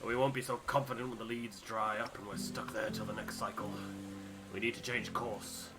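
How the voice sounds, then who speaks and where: A man voicing an older, wistful polar expert in a game, with breathy, earnest, savouring delivery. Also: on the low side, level tone, moderate pace, very noisy, lightly acted. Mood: earnest warning. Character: older weathered male polar expert, wistful awe at the ice. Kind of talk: character voice acting in a game playthrough.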